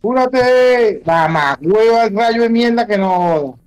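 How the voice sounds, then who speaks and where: A man's voice: high voice, expressive, slow pace, smooth, no room sound, soft background music. A Venezuelan man chatting casually with other players during an online game, talking in crude, foul-mouthed slang. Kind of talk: casual gaming voice chat with slang.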